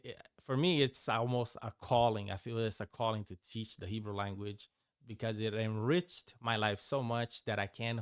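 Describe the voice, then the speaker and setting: A man born in Brazil and raised mostly in the United States, with Portuguese as his first language, talks through a Bible course as its teacher. His voice sits a bit low and comes across dry and close. He speaks loosely, stopping and restarting with frequent fillers. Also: clean tone, no room sound. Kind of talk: informal course overview.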